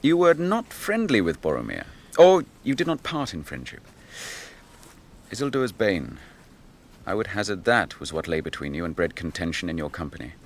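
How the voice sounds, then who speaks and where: An actor playing a grave, noble young captain grieving his brother, in measured, archaic speech for a fantasy radio drama. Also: low-pitched, big pitch swings, full character voice. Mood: grave, probing.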